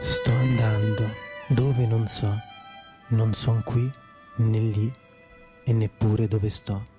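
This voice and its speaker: A man giving a poetic recitation in a measured, lyrical manner. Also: lowish voice, flat delivery. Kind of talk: slow poetic recitation over guitar. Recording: prominent guitar music behind the voice. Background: plucked guitar.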